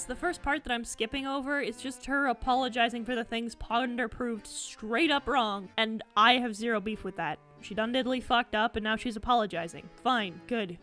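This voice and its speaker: Female commentary host, high voice, blunt and sarcastic, swears freely, music faintly behind. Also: fairly bright tone.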